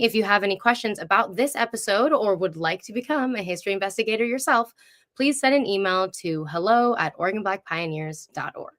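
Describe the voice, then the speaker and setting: A woman's voice: mid-range voice, fairly bright tone. A woman hosting a conversational interview show, speaking in a chatty, appreciative way. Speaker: show host.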